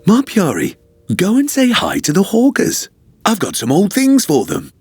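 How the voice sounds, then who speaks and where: A woman voicing a gentle, caring parent in a children's cartoon, soothing and expressive. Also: mid-range voice, moderate pace, a little hiss or hum. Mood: welcoming. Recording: light music behind.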